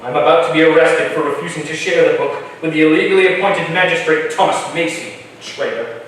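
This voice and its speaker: Guy doing earnest stubborn colonial man in a stage play, highish voice, really echoey and far off. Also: brisk, fairly bright tone, a little hiss or hum, close to an everyday voice. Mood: urgent. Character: principled, stubborn colonial man, moderator and record keeper. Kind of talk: stage drama reading. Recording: very echoey hall, far from mic.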